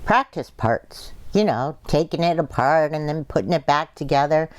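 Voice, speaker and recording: A woman's voice: deep voice, moderate pace, natural voice. A woman reading the part of a pushy repair person who schemes over a broken fridge, in an offhand, wheedling way. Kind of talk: play reading.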